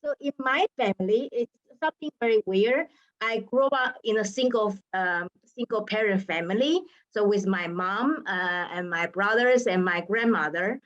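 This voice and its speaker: Woman, mid-pitched, thoughtful and halting, muffled video-call sound. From Taiwan. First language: Taiwanese Hokkien. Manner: thoughtful, halting, with frequent restarts. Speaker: researcher on a panel. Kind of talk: personal reflection in an online roundtable.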